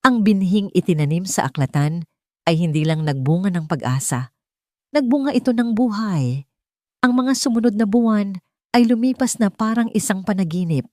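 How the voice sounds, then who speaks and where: A woman's voice: medium pitch, lively intonation, moderate pace, a little mellow, dry recording, studio quality. A woman storyteller reading a scripted romantic tale in a dramatic narrating style.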